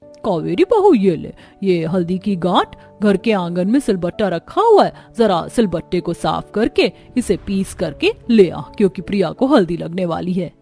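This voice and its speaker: A woman's voice: on the low side, sing-song, normal speed, mellow, faint music behind, voice pushed down. Woman as bossy older village aunt-in-law. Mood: brisk, commanding. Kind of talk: Hindi cartoon story dialogue.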